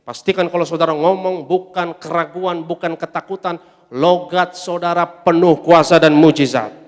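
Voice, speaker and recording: A man preaching a sermon as pastor, emphatic, swelling loud on his refrains and calling the congregation to say amen. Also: on the high side, steady tone, moderate pace. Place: large church hall. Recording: long church-hall reverb.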